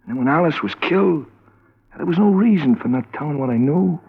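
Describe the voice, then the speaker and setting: Nervous, guilt-ridden informant, higher-pitched American. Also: male voice, lively intonation, vocal fry, breathy edge, full character voice. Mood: anguished, guilty. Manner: agitated, halting, repeats himself insistently. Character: guilt-ridden, nervous male small-time drug peddler turned informant. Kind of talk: 1950s American radio crime drama acting. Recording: muffled old radio broadcast recording.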